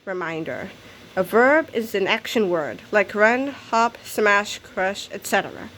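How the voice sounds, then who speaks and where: A woman reading a children's comic book aloud in an animated storytelling style. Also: medium pitch, measured pace, rough recording. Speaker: story reader.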